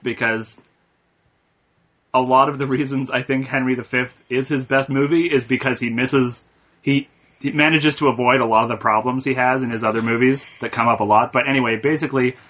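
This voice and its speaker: A man co-hosting a podcast, giving his view of a film in a thoughtful, halting casual chat. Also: medium pitch, pushed and bright. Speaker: podcast co-host. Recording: muffled lo-fi recording.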